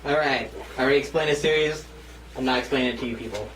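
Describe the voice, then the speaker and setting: A man's voice: mid-range voice, racing, a bit bright. A man in his twenties hosts a casual script reading, narrating stage directions in a mid-range voice. He sounds set back from the mic in an echoey room. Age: 20s. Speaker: host and narrator. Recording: roomy, distant mic, faint noise.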